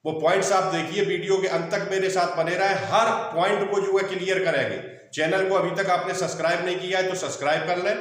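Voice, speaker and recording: A very echoey, distant recording of a man. His voice is high for a man. He explains point by point like a coaching teacher, instructive and reassuring, dropping English terms into his speech. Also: steady tone, brisk, fairly bright tone. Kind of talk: explanatory online lesson, point by point. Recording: very echoey room, distant mic.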